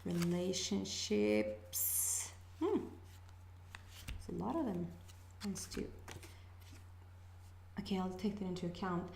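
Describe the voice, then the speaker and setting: A woman's voice: lowish voice, expressive, creaky, background noise. Hesitant Swedish woman in an echoey room. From Sweden. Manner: hesitant, musing, pausing to sense messages. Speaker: astrology reader, channel host. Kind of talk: casual intuitive reading to camera.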